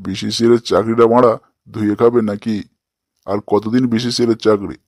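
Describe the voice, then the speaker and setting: Cartoon grandpa, played by a man: lonely, grumbling, foul-mouthed, lower register. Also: fairly even intonation, brisk, darkish tone, no room sound, studio quality. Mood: indignant. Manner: complaining, coarse, swearing. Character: grumpy, lonely old grandpa wanting a bride. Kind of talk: comic cartoon dialogue.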